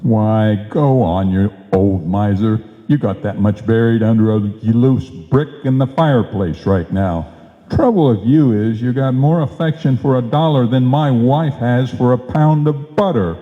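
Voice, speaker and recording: Man playing a slow-drawling, blustering radio comedy husband. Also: medium pitch, natural voice. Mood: needling. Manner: emphatic, wisecracking. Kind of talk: old-time radio comedy acting. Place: live stage performance in a hall. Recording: muffled live recording in echoey hall.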